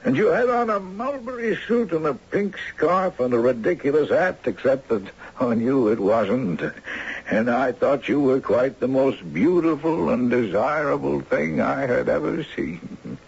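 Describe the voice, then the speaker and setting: An actor playing an ailing, elderly retired judge, gentle, wistful and courtly, in a 1970s radio drama. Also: male voice, medium pitch, lively intonation, unhurried, creaky, husky, exaggerated voice. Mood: fond, wistful. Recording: muffled 1970s radio recording, music behind.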